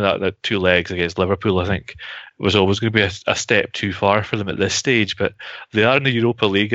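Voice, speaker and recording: A man's voice: low-pitched, no echo. A man giving analysis on a podcast, explaining at length with frequent restarts. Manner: flat, even explaining with repeated restarts. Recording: dull lo-fi sound.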